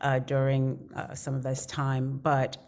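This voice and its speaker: Deep-voiced woman in reflective conversation. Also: monotone, measured pace, vocal fry, a little mellow. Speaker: actor, panel guest. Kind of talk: casual, reflective panel talk.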